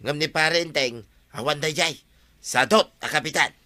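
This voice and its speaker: Sharp, griping villager. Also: male voice, on the high side, normal speed, dry recording. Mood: disgruntled. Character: disgruntled village man griping about the useless captain. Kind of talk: comedy radio drama acting.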